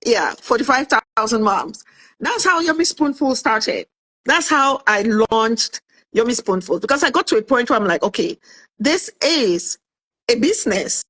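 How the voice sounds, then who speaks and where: A woman in her fifties from Cameroon tells her story in a relaxed interview, and her voice sits a little high. She is lively and acts out her own past remarks as she goes. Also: normal speed, rough recording. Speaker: guest, author and food entrepreneur.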